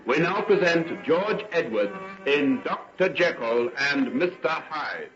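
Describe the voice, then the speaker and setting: A man's voice: on the high side, lively intonation, slow. A man announcing a programme in a formal old-time radio style. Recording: dull old radio recording, loud music behind. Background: music.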